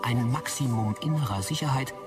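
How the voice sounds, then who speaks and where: An actor playing a smug, officious AI implant assistant with a dry, slightly cheeky delivery in a sci-fi audio drama. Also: male voice, mid-range voice, a little fast, recorded from a distance, music in the background, lightly acted. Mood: smug, reciting. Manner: matter-of-fact, slightly cheeky.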